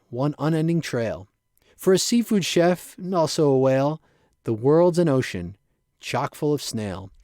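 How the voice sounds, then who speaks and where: A man reading his own poetry aloud in short, rhythmic phrases with a playful, measured delivery. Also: a little high, dark tone, no room sound, studio quality. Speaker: poet reading own work.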